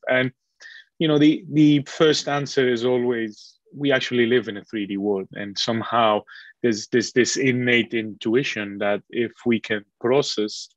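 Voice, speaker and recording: A man who grew up in Colombia, giving a relaxed online guest lecture over a video call with many fillers and restarts. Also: lowish voice, no echo. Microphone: video call microphone. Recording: dull, dry video call audio.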